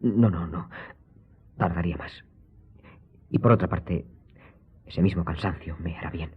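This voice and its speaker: An actor playing a well-bred, earnest young viscount in a radio drama, with a polite, emotional, expressive delivery. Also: male voice, low voice, unhurried, breathy edge, exaggerated voice. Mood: shaken, urgent. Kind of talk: Spanish-language radio drama acting. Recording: muffled audio with music.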